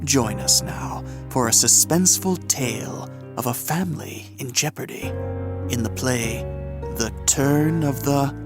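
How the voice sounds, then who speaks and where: A man narrates as a host from a script. He speaks slowly at a medium pitch, with music clearly behind him. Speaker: podcast host.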